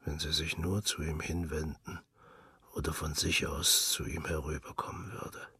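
Deep gravelly guy reading literary fiction, really breathy and husky, measured intimate storytelling. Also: little pitch movement, pushed and bright, dry recording, studio quality. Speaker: narrator. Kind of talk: scripted literary narration.

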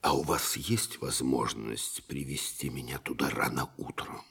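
Very deep, husky skeptical scientist. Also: male voice, unhurried, a bit bright, heavily acted. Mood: guarded, determined. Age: young adult. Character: skeptical young male scientist, proud, intense and thoughtful. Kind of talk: radio play acting.

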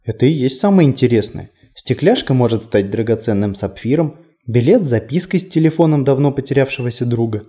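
A man's voice: mid-range voice, lively intonation, brisk, few pauses, warm tone, close to an everyday voice. A man voicing a whimsical, chatty male tour guide in an audiobook, conversational, playful and self-deprecating. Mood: playful, confiding. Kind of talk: audiobook character dialogue.

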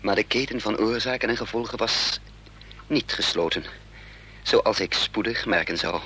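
A man's voice: fairly low pitch, moderate pace, bright, forceful tone, slightly rough. An actor playing a resolute, bitter man on the run in a radio drama, with intense, clipped, dramatic delivery. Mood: grave narration. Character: hunted male amnesiac double, resolute, driven, bitter. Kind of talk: radio drama acting with first-person narration.

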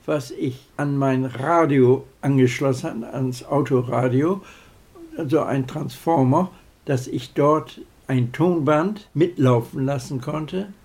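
A man's voice: mid-range voice, takes it slowly, darkish tone. A man recalling his hobby of taping radio, speaking spontaneously as in an interview. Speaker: amateur radio-taping enthusiast.

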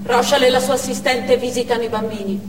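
Young woman militant played by an actress, wary, hard, theatrical monologue, far from mic, clearly noisy hall. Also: a little high, fairly even intonation, fast talker, natural voice. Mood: stern, watchful. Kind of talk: dramatic stage monologue in present tense. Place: on stage in a theatre.

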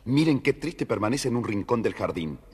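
A man's voice: medium pitch, animated, moderate pace, a little mellow, breathy edge. A man narrating a scripted children's story in a theatrical, playful way. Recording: reverberant studio, music behind.

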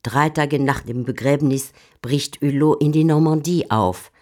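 A woman's voice: sits low, unhurried, mellow. A woman narrating and commenting on a literary story in a scripted radio drama.

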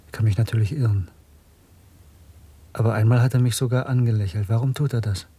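An actor playing a middle-aged secret dissident, earnest, reflective and intense, in a German radio drama. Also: male voice, mid-range voice, brisk, mellow, slightly rough, lightly acted. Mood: confiding, intrigued.

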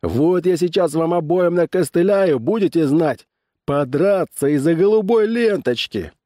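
A man's voice: on the high side, lively intonation, measured pace, dark tone, no echo, studio quality, voice pushed up. A man voicing a tart, indignant elderly male newspaper publisher who scolds people in an audiobook story. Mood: scolding.

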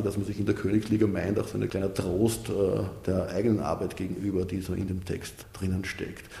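Sehr dunkle, knarrende Männerstimme. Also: male voice, low voice, vocal fry, dark tone. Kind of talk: spontaneous interview talk. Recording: roomy, reverberant room.

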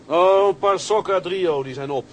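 A man's voice: sits high, normal speed, a little hiss or hum, natural voice. Hearty young seaman, acted by a man. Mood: tender, teasing. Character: hearty, affectionate young seaman, a homecoming ship's mate. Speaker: actor in a radio drama. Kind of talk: dramatised radio play acting.

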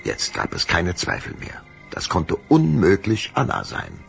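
A man's voice: sits low, huge pitch swings, a little slow, gravelly, fairly bright tone, soft background music, heavily acted. A man narrating a children's vampire audio drama as a dramatic, suspenseful storyteller.

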